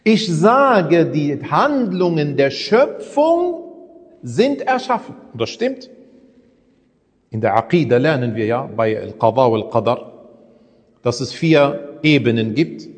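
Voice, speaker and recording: A man's voice: fairly high pitch, sing-song, takes it slowly, in a large hall. A man teaching a religious lesson in a conversational way, often asking his listeners rhetorical questions. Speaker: Islamic preacher.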